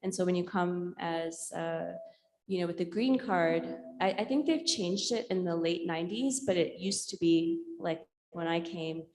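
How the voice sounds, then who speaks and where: A woman from India, a native Urdu speaker, giving an informal artist talk in a reflective, hesitant way with frequent pauses and ums. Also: on the low side, darkish tone. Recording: echoey hall with long reverb.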